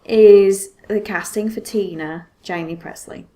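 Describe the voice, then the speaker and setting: A woman hosting a podcast in casual chat, halting and repeating words for emphasis. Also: medium pitch, dark tone. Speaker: podcast host.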